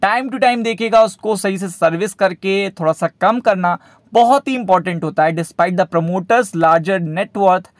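A man's voice: sits high, a little slow, dry recording, clean studio sound. A man with an Indian accent giving explanatory market commentary as a video host, repeating himself and mixing in English terms.

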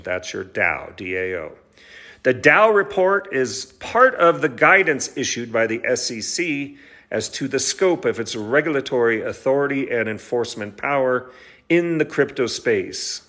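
A man's voice: medium pitch, a bit bright. A middle-aged man with an American accent, an attorney reading a legal document aloud with brief asides in a stop-and-start delivery. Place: large indoor living room with high ceiling.

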